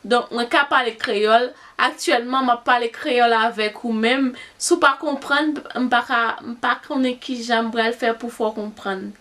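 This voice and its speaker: A woman's voice: on the high side, fairly even intonation, moderate pace, few pauses, fairly bright tone. A young woman from Haiti with a Haitian accent, Haitian Creole native, chatting casually to camera and thinking aloud as she answers questions. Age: young adult. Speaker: YouTuber.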